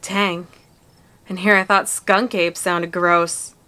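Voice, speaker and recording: A woman's voice: on the low side, flat delivery, slow pace, bright, forceful tone, clear, resonant tone. Plucky, casual young woman voiced by an actress. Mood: weary, amazed. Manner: informal, matter-of-fact. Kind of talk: visual novel fan dub.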